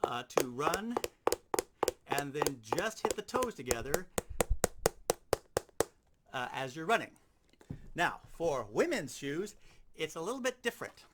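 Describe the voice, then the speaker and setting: A man's voice: on the high side, sing-song, unhurried, long pauses, background noise. A man giving an informal instructional demonstration, explaining things step by step. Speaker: sound effects instructor.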